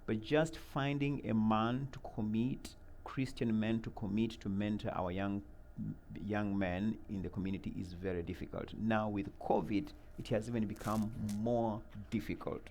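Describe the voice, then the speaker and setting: A middle-aged man, from Uganda, answering interview questions about a mentoring program in an earnest, measured way, with many fillers and repeated words. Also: lowish voice, steady tone, a little mellow, a little hiss or hum.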